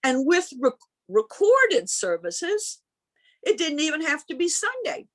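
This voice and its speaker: A woman minister giving a sermon in deliberate, emphatic phrases with pauses, speaking over a video call. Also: high voice, wildly swooping pitch, a bit bright. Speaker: minister preaching.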